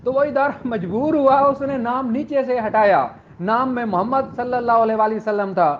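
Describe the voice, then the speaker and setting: Very high-voiced earnest male lecturer. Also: moderate pace. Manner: earnest, addresses listeners as sisters and brothers. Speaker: law lecturer. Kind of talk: motivational lecture. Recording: dull audio with faint background noise.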